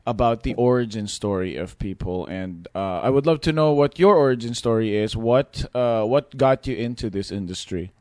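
A man's voice: mid-range voice, lively intonation, moderate pace, few pauses, darkish tone, no echo, clean studio sound. A man hosting a podcast interview in a casual, teasing, joking way. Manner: jokey, teasing. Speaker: podcast host.

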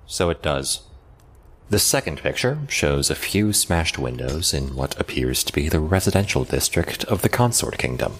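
Very deep, husky, coldly polite man, voiced by an actor. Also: very animated, exaggerated voice. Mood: detached, formal. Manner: measured, formal, deliberate. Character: cold, controlling man, verbose and menacingly polite. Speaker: voice actor in a fan dub. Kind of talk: scripted dramatic dialogue and narration.

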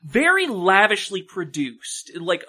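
A man talks casually as a podcast co-host, offering opinions and recommendations. His voice is high for a man and has a bright, crisp edge to it. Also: wildly swooping pitch, slow pace. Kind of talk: casual chat, giving reviews and recommendations.

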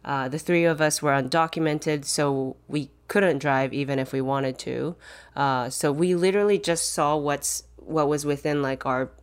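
Low-pitched woman, casual podcast guest. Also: moderate pace, clean tone. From born in Taiwan, grew up in Japan and Los Angeles. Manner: self-deprecating, frequently laughing. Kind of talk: casual conversational interview.

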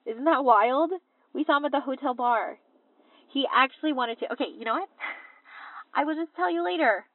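An actress playing a chatty, excitable young American little sister leaving a rambling voice message in an audio drama, through a phone filter. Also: female voice, high voice, no room sound. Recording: tinny phone-like line.

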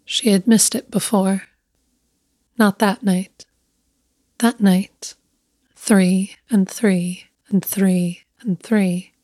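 A woman reading a scripted literary story in a measured, hushed, deliberate way. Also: medium pitch, steady tone, no echo. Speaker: story narrator.

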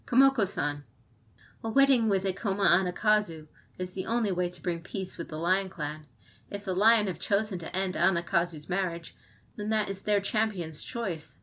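A woman's voice: medium pitch, moderate pace, fairly bright tone, natural voice. A woman reading the lines of a proud, dutiful female clan champion in an audiobook. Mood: firm.

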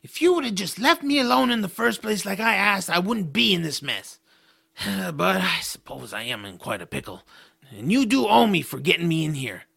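Audio drama troll: small, fretful, sardonic grumbler with a sharp edge and wildly swooping delivery. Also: male voice, high-pitched, very animated, normal speed, creaky, husky, heavily acted. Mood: grudging. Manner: sarcastic, complaining. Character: small grumpy male troll, sarcastic, fretful, easily indignant.

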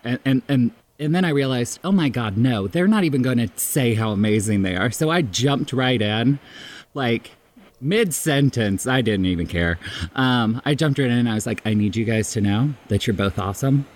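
A man's voice: medium pitch, animated, moderate pace. A man guesting on a podcast, chatting and telling stories in an exuberant, giggly, self-mocking way. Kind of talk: casual chat, animated storytelling.

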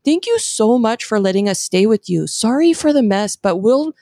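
Chatty woman with a gravelly edge. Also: a little high, lively intonation, moderate pace, dark tone, dry recording, studio quality. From US Bay Area. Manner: chatty storyteller, laughs often. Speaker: co-host tasting candy. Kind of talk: casual chat.

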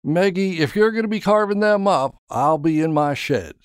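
A man's voice: high-pitched, lively intonation, moderate pace, smooth, dry recording, clean studio sound, voice pushed up. A man voicing a teasing, folksy older husband and grandfather in an audio story. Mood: grumbling.

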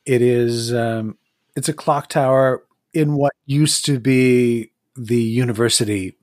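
Game master for a tabletop campaign: descriptive, slow-paced, searching for words, recorded dry. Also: mid-range voice, steady tone, a little mellow, no echo. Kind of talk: narrating a tabletop role-playing game.

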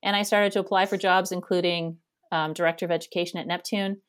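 Casting note: Canadian woman in her thirties, interview guest, loud nasal voice, chatty and rambling, lower voice. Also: little pitch movement, fast talker, smooth. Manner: chatty, rambling, laughs often. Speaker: guest, theater education director. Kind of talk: casual interview conversation.

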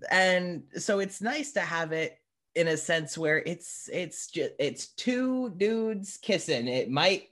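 Unhurried man hosting a casual podcast interview. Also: sits high. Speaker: podcast host interviewing a guest.

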